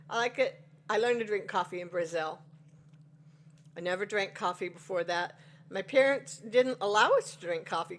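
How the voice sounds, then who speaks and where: A woman in her sixties, chatting to viewers over coffee and rambling through a personal story with folksy exclamations. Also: mid-range voice. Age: 60s. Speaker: devotional vlogger.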